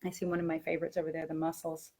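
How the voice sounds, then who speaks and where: Woman, fairly low voice, conversational teacher trainer, informal webinar presenting. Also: completely flat delivery, rapid-fire, warm tone, breathy edge.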